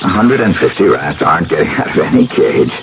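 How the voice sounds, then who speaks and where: An actor playing a determined, impatient scientist in love, in an old-time radio drama. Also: male voice, extremely low pitch, big pitch swings, a little fast, gravelly, full character voice. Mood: reassuring, insistent. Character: determined, impatient male rat researcher in love. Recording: muffled, noisy old radio broadcast.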